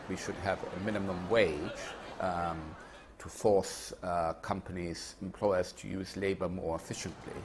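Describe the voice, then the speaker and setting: A man answering an interview on policy, articulate but pausing with ums and uhs. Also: deep voice, noisy background. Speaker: critic interviewed.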